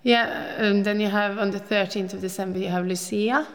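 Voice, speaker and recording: A woman's voice: medium pitch, fairly even intonation, normal speed. A woman in her fifties from Norway, speaking with a Norwegian accent in a casual interview and telling stories in a chatty, rambling way. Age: 50s. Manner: chatty, rambling with restarts and laughs.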